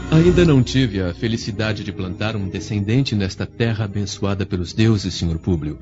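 A man's voice: lowish voice, lively intonation, normal speed, lightly acted. An actor with a Brazilian Portuguese accent playing an enigmatic, courteous man of hidden passion in a radio drama, with formal, ornate delivery. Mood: solemn, courteous. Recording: muffled radio drama recording with music.

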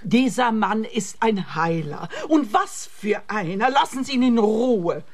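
A woman's voice: mid-range voice, expressive, a little slow. An actress playing a bitter, imperious older mother in a radio play, dramatic and plaintive. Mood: indignant.

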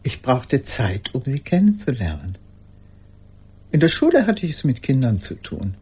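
An older actress playing a lonely, pleading old woman in a radio play monologue, halting and intense, with a husky voice. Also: extremely low pitch, very animated, warm tone, faint music behind. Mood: grave, resigned.

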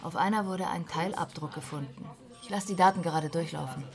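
An actress in a German radio drama playing a brisk female police detective who talks in a clipped, authoritative way. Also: lowish voice, faint music behind, close to an everyday voice. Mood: businesslike.

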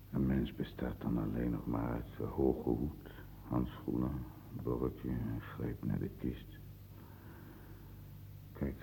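A man's voice: warm tone, exaggerated voice, poor audio. An actor playing a dead old drunkard in a radio play, rambling theatrically and mocking himself as he buttonholes the listener. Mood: musing, rambling. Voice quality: very deep, gravelly. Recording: old radio recording with noise.

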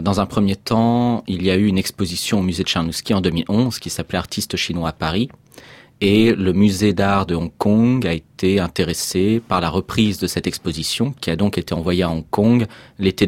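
A man who curates an exhibition, a guest in a radio studio, explaining things in a calm, measured way. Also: sits low, dry recording. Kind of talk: radio interview.

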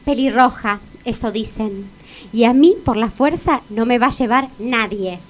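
High-voiced, brazen Argentine girlfriend, played by an actress. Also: measured pace, noisy background, lightly acted. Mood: defiant. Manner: brazen, melodramatic. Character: flamboyant, defiant redheaded girlfriend in a comic melodrama. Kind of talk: comic radio drama acting.

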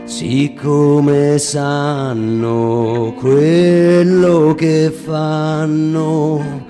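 A man's voice: fairly high pitch, little pitch movement, very slow, mellow. A man performing poetic verse as theatrical recitation and song. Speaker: stage performer and musician. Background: plucked guitar music.

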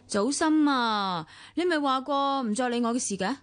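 A woman's voice: high-pitched, animated, moderate pace. An actress playing a reserved, wistful married woman in a radio drama, with a Hong Kong Cantonese accent. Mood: guarded. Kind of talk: radio drama acting with inner monologue.

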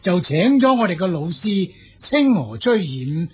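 Man acting a husky, high-pitched Han envoy. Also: big pitch swings, exaggerated voice. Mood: confiding. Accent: Cantonese. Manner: formal, emphatic, deliberate praise and veiled warnings. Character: smooth-talking boastful Han Chinese military envoy, adult man. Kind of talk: Cantonese audio drama acting.

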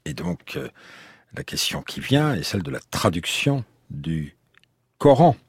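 A middle-aged man hosts a radio history program, his low voice very husky and rough. He moves through his questions with deliberate, hesitant pauses, letting his pitch rise and fall widely. The studio sound is close and dry. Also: very animated, no echo. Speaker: radio host. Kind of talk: radio interview with archives.

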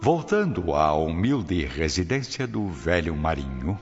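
A man's voice: low voice, big pitch swings, a little slow, heavily acted. A man with a Brazilian accent giving dramatic scripted narration for a radio drama. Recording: dull radio drama, loud music behind.

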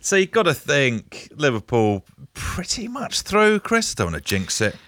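A man's voice: fairly high pitch, huge pitch swings, normal speed, background noise. A man co-presenting a breakfast radio show, easy and conversational.

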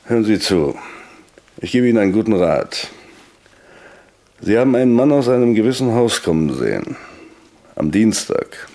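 An actor playing a menacing, falsely polite gangster in a 1960s German radio play, mocking and threatening. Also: male voice, lowish voice, sing-song, normal speed, pauses often, dark tone. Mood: cold menace. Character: menacing, sneering male gangster intimidating a shopkeeper.

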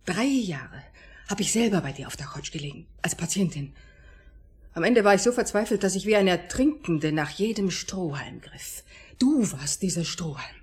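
An actress playing a disillusioned, sardonic wife of a psychotherapist, with cutting delivery in a German radio play. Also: female voice, on the low side, lively intonation, normal speed, husky. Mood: bitter, scornful.

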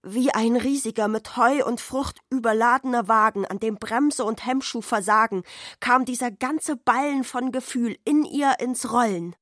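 A woman's voice: fairly high pitch, moderate pace, fairly bright tone, no echo, clean studio sound. A woman reads literary narration for a radio play. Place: dry radio studio.

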